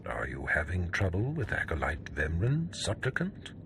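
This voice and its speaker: A man playing a stern, contemptuous overseer in a video game, speaking in imperious, deliberate pronouncements. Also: low-pitched, huge pitch swings, background noise, full character voice. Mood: cold disdain. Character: stern, contemptuous Sith overseer, a cold commanding male master. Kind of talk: video game cutscene acting.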